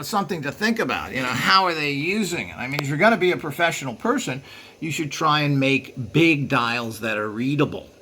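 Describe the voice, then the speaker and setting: A man's voice: a little high, a bit bright. Man giving a rambling, opinionated equipment demonstration. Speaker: presenter reviewing vintage equipment. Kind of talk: unscripted product demonstration commentary.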